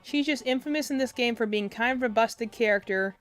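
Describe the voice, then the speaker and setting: A young woman with a quiet, soft voice chatting casually on a game stream, rambling with lots of fillers and restarts, close to her desk mic. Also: on the high side, brisk. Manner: rambling, filler-heavy, self-interrupting. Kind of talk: casual live-stream chat. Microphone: desk mic close to face.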